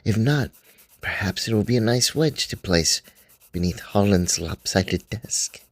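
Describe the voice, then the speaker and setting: A man's voice: on the low side, animated, measured pace, no echo. A man reading as a wry 1920s scholar. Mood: thoughtful. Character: 1920s male scholar writing expedition journal. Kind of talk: first-person journal reading, radio drama.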